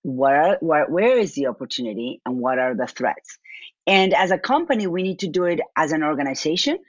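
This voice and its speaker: Low-voiced woman with an Argentine accent. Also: big pitch swings, a little fast, dry recording, highs cut off. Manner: talks in restarts and repeats, thinking aloud. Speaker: business owner, guest. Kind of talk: interview answers.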